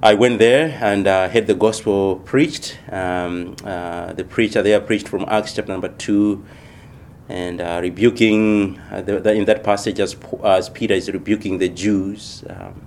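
Soft-spoken deep-voiced man from Malawi. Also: fairly even intonation, vocal fry, slight background noise. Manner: thoughtful, unhurried, many pauses and fillers. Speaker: missionary church planter, guest. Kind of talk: unscripted interview answers.

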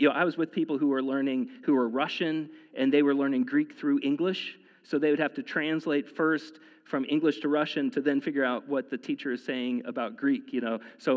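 A man's voice: mid-range voice, in a large hall, highs cut off. A man in his thirties preaching a sermon in a casual, conversational way, often restarting his sentences and using fillers. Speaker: pastor.

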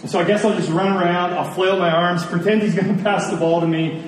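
A man's voice: high-pitched, steady tone. Echoey male preacher, conversational and earnest. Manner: conversational, earnest, with rhetorical questions and emphatic pauses. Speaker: pastor preaching. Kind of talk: sermon. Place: large room, preaching to a congregation. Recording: echoey room, distant mic, faint noise.

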